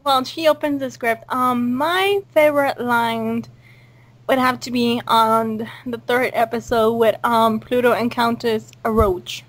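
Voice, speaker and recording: A woman's voice: on the high side, steady tone, unhurried, no room sound. A woman hosting an informal cast interview in a casual, chatty style. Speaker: host and audio drama creator. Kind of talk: casual group interview chat.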